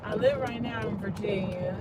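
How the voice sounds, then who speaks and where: A woman's voice: mid-range voice, normal speed. A middle-aged woman from Florida with an American accent, chatting casually as a passenger. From Florida, United States. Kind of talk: casual chat. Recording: very loud vehicle and road noise.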